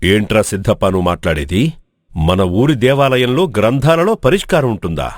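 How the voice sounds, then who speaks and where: A man plays a village elder in an animated folk tale, cautious and full of doubt. The voice is fairly low and weighty, questioning, with a very dry sound. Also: normal speed, no room sound. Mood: puzzled. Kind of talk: animated moral story dubbing.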